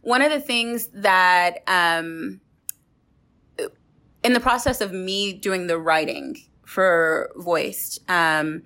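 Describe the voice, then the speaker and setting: A woman with a lowish voice and a bright, forceful edge. She speaks as host and writer in a casual discussion, feeling her way through sentences. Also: slow. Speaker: playwright and host.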